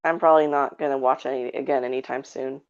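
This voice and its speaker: Low-voiced woman, dull lo-fi sound. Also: fairly even intonation, fast talker, clean tone. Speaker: guest on a review podcast. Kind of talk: casual chat. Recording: dull lo-fi call audio.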